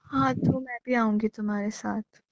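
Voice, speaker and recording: A clingy, jealous young girlfriend played by a woman argues in a fairly high voice. She is sulky, insistent and pleading, in a casual romantic call drama. The recording is slightly dull and lo-fi, like a phone call. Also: fairly even intonation, moderate pace, natural voice. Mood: sulky, hurt.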